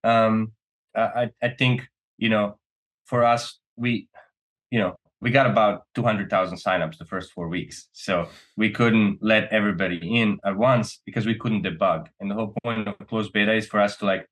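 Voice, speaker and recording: A man's voice: fairly low pitch, fairly even intonation, normal speed, fairly bright tone. A man from Serbia talking casually and earnestly as a company co-founder being interviewed, often checking in with "right?".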